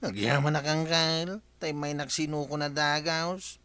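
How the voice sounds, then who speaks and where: Guy doing naive filipino nephew, super sharp bright voice, on the high side, audio drama. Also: measured pace, no room sound. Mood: relieved. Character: naive, bewildered young nephew stuck at a job.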